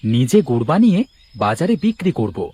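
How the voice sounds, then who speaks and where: A man's voice: medium pitch, normal speed, husky. A man playing a stubborn, honest village sugarcane farmer in a children's cartoon, speaking emphatically. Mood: defiant. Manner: emphatic, animated. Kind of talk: children's cartoon story acting.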